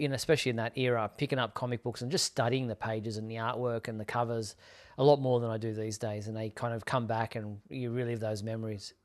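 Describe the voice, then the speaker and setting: A man hosting a fan live show, presenting news casually in an easygoing, meandering way. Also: medium pitch.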